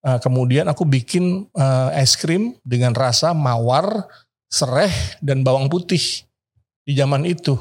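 Animated storytelling middle-aged chef. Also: male voice, medium pitch, measured pace. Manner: animated storyteller, acts out quoted voices, code-switches with English. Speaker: chef, podcast guest. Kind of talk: casual storytelling interview.

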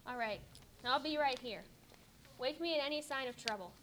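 A woman playing a calm, protective young woman who can speak with the forest, in an amateur radio drama. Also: sits high, moderate pace, a little hiss or hum.

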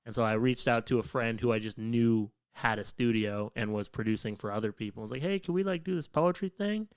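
A man's voice: mid-range voice, fairly quick, clear, resonant tone, no echo. A young adult man hosting a podcast, talking in a laid-back way with lots of filler words. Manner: laid-back, many fillers like "like" and "um", swears casually. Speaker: podcast host. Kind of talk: casual chat.

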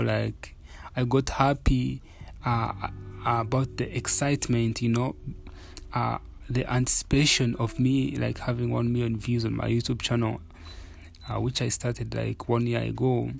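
A man's voice: medium pitch, flat delivery, a little slow, vocal fry. A man from Uganda hosting a solo podcast, talking candidly and reflectively with many fillers and restarts. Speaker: podcast host. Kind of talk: casual solo podcast talk.

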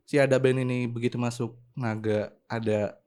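A man's voice: mid-range voice, flat delivery, moderate pace, darkish tone, smooth. A man with a Jakarta Indonesian accent hosting a podcast in casual, slangy chat, jokey and prompting with questions. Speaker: podcast host.

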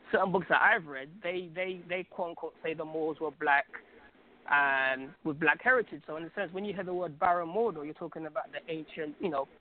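A man with a fairly high voice talks over a thin, phone-like call. He is from Algeria and chats casually but emphatically, full of slang and repeated phrases, as a guest calling in to a show. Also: a little hiss or hum. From Algeria, North Africa. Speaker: guest caller on a radio podcast. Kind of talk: casual opinionated chat. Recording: phone-like, narrow tinny call sound.

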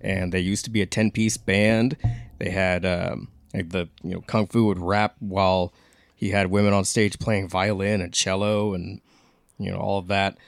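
A man's voice: low-pitched, flat delivery, moderate pace, vocal fry, no room sound, studio quality. An American man hosting a podcast, casually promoting events and chatting with guests. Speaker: podcast host.

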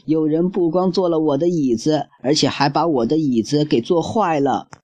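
A man's voice: a little high, moderate pace, darkish tone, highs cut off, voice pushed up, close to an everyday voice. A man voicing a tearful little baby bear cub in a children's story. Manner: tearful, wailing.

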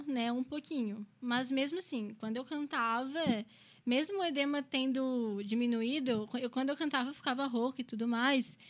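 A woman in her twenties from Brazil, a singer chatting casually as an interview guest, often ending phrases with a questioning tag. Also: sits high. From Criciúma, Santa Catarina, Brazil. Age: 20s.

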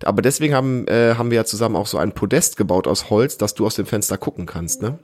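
A man around his thirties or forties hosting a casual family podcast, explaining things and gently prompting with questions. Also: fairly low pitch, fast talker. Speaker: podcast host, father interviewing his child.

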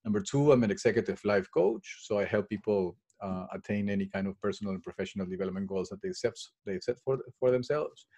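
Hesitant low-voiced man. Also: fast talker, hardly any pauses, mellow, breathy edge, muffled recording. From Peru. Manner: hesitant, many fillers and restarts. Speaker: guest, well-being consultant and coach. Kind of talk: conversational interview answers.

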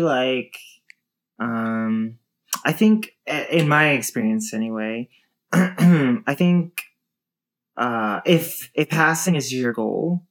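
A woman in her thirties with a low voice, talking casually and thoughtfully with many fillers and restarts. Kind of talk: casual conversation.

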